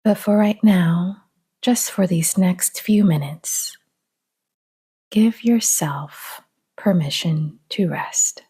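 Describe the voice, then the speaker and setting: A woman guiding a relaxation meditation in a soft, half-whispered, breathy voice, calm and gentle, with long pauses. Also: on the low side. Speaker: meditation guide.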